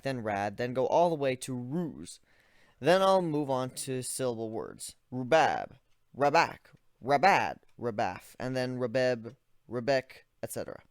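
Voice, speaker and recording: A man's voice: medium pitch, dry recording. Man reading as a weary, analytical young griffin, dry sound. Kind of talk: dramatic reading of first-person fan fiction.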